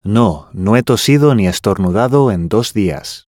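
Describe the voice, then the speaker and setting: Male, fairly low pitch, dry close recording, careful enunciation, scripted lesson dialogue. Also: expressive, unhurried, a little mellow, dry recording. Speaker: language course dialogue reader.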